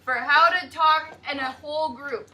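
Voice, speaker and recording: High bright teacher lady, talking to little kids slow and clear, mic kinda far off in a classroom. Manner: slow, emphatic, questioning the class. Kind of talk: classroom lesson to young children. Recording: distant classroom mic, faint noise.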